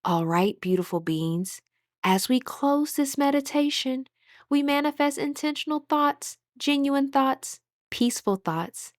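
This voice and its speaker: A woman leading a guided meditation as instructor, speaking in a calm, soothing, measured way with pauses. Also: sits high, dry recording, clean studio sound.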